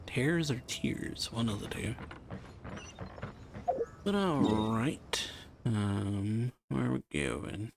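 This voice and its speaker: A man's voice: fairly low pitch, lively intonation, extremely slow, vocal fry, long pauses, a little hiss or hum. A man giving casual, laid-back play-along game commentary in short muttered asides. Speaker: YouTube game streamer reacting. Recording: game music behind voice.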